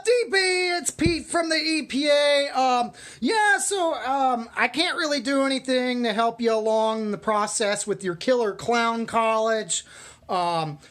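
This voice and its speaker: Woman as a high-voiced, casual, apologetic bureaucrat. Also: close to an everyday voice. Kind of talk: casual comic voicemail message.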